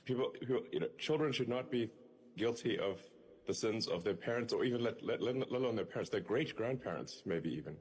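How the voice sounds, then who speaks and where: A man's voice: fairly low pitch, expressive, fairly quick, a little mellow, slightly rough. A man answering an interview question, hesitant, with restarts and repeated words.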